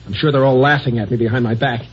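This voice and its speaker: A man's voice: mid-range voice, steady tone, quick pace, slightly rough, close to an everyday voice. An actor playing a nervous, desperate man of middle age who stammers and breaks into panic, in 1950s radio style. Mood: bitter, desperate. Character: frightened, desperate middle-aged office man. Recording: dull old radio broadcast with hiss.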